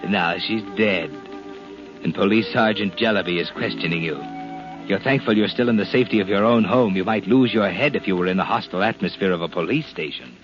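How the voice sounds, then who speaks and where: A man plays a mocking, insinuating narrator of a vintage radio thriller. His voice is fairly low, over prominent music on a muffled old recording. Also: a little fast, natural voice. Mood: sardonic. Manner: insinuating, addresses the culprit directly. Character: sinister, mocking all-knowing male mystery narrator. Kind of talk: 1940s radio suspense narration. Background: prominent dramatic music.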